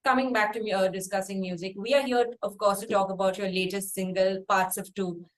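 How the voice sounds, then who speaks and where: A woman with an Indian accent hosting a music interview in a conversational, deliberate way with drawn-out pauses. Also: medium pitch, steady tone.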